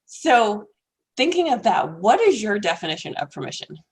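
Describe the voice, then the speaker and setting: A woman's voice: medium pitch, big pitch swings, moderate pace, husky. A woman hosting a podcast, enthusiastic, laughing mid-sentence and saying "like" often. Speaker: podcast host. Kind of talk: casual interview chat.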